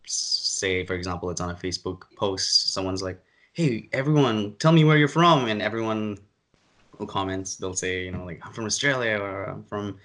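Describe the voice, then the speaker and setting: A man's voice: fairly low pitch, normal speed, fairly bright tone. A man hosting a casual podcast, explaining things in a rambling, exasperated way. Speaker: podcast host.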